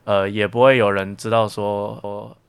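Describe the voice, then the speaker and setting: A man's voice: on the low side, flat delivery, moderate pace, vocal fry, clean tone, no room sound. A young man as a student guest in a casual radio interview, explaining things reflectively and repeating words as he goes. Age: young adult.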